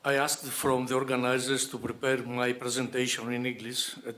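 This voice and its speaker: Man, flat formal greeting. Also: mid-range voice, moderate pace. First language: Greek. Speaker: politician and panel speaker.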